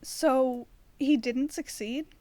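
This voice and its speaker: A woman playing an earnest, curious, determined young woman in an audio drama, narrating and talking in a chatty, expressive way. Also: high voice, takes it slowly. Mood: earnest, questioning.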